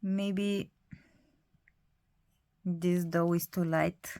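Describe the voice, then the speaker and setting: A Bulgarian-accented woman in her thirties talks slowly and chattily about her dolls, pausing often with hesitant fillers. Her voice sits a little low, and the recording is dry and close. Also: flat delivery, a little mellow, no echo. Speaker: doll collector vlogger. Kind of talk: casual hobby vlog narration.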